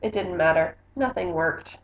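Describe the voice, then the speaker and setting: A woman's voice: fairly low pitch, normal speed. A woman book vlogger reading a picture book aloud in a casual, chatty style. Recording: slightly dull, roomy home recording.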